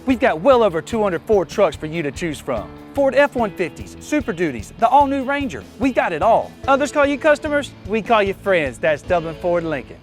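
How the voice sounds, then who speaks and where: A man delivering a scripted television commercial as a car dealership pitchman. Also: big pitch swings, brisk, hardly any pauses, faint music behind.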